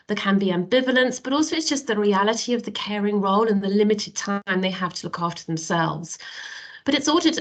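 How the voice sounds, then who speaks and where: Nasal woman with a cold presenting. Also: mid-range voice. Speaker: guest presenter, professor. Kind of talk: online webinar presentation. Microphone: video call.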